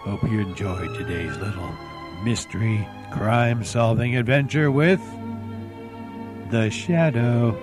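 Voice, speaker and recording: A man's voice: lowish voice, slow. A man hosting an old-time radio program, chatting in a folksy way to his listeners. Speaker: host of old-time radio show podcast. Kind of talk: chatty scripted-feeling hosting. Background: music.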